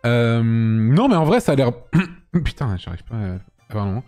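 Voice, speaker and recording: A man hosting a casual chat, a little hoarse, teasing and joking with his guests and correcting himself as he goes. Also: medium pitch, very animated, moderate pace, mellow, faint music behind. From France. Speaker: host.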